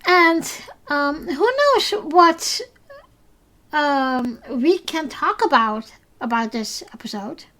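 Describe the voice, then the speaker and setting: A Dutch woman hosts a solo podcast recapping episodes, speaking English as a second language. Her voice sits high, and she talks slowly. She hesitates often, filling pauses and restarting her sentences. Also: animated, a bit bright. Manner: hesitant, many fillers and restarts. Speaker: podcast host.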